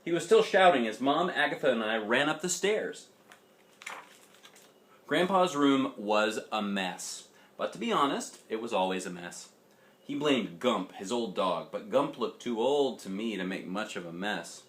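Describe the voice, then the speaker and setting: A man's voice: lowish voice, animated, measured pace, a bit bright. A man reading a children's story aloud as a dad would, expressively, with chatty asides to young listeners. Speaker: father reading a picture book aloud. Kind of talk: storybook narration with casual asides.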